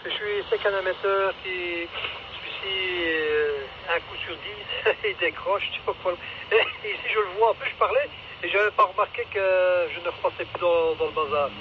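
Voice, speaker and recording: A man's voice: high-pitched, moderate pace. A man chatting casually and hesitantly as a radio operator, heard through a walkie-talkie. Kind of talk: casual two-way radio chat. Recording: muffled, very noisy radio transmission.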